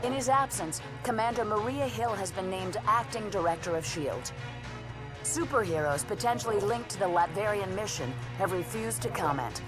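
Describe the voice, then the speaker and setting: Actress as a grave news anchor over music. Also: female voice, mid-range voice, animated, normal speed, lightly acted. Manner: formal, scripted news delivery. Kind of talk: video game cutscene acting as news report.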